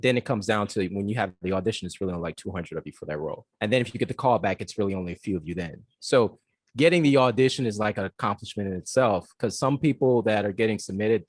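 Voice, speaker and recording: A man co-hosts a relaxed conversation, rattling off thoughts quickly with lots of fillers. The sound is dry and close. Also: fairly low pitch, no room sound. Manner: fast, loose, full of fillers. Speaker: podcast co-host. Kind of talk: casual interview chat.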